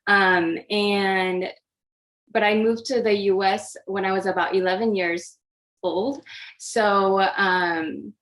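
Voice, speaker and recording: A woman's voice: medium pitch, steady tone, slow, fairly bright tone. Japan-born woman dietitian giving an online talk. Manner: friendly, hesitant with frequent fillers. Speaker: oncology dietitian presenter. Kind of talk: interactive online nutrition presentation.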